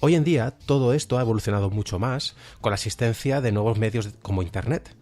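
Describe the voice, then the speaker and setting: A man with a Spain Spanish accent presenting a podcast in an explanatory, measured way. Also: mid-range voice, a little mellow. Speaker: podcast host. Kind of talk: podcast presentation, semi-scripted. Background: music.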